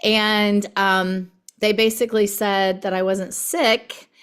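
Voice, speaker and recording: A woman with a hoarse voice she says she is losing, hosting a video call and giving chatty announcements full of ums. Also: medium pitch, level tone, slow. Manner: chatty and warm, many ums.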